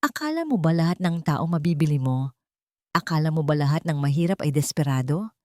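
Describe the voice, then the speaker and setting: A woman's voice: mid-range voice, warm tone, no room sound, natural voice. Female narrator as poor young mother, proud, furious, defiant, accusing, story drama, dry sound.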